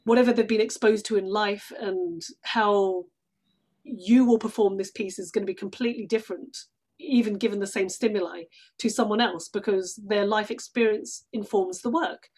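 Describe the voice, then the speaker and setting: A woman's voice: medium pitch, steady tone, moderate pace, a little mellow, husky. Woman in reflective interview. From London, England. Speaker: guest, stage actress. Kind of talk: casual reflective interview talk.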